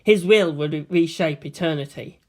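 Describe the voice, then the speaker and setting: A woman reading a story aloud as narrator, in an expressive, dramatic style. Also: low-pitched, moderate pace.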